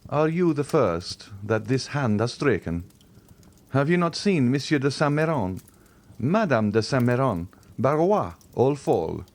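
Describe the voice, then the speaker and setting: A man voicing a grave, protective middle-aged nobleman who gives solemn, measured warnings. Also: mid-range voice, big pitch swings, voice pushed up.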